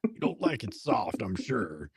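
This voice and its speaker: A woman voicing a cocky, flirtatious male chef with a low, husky, intimate drawl in an audio romance drama. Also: wildly swooping pitch, no room sound, studio quality, heavily acted. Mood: seductive. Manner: slow, intimate, suggestive drawl.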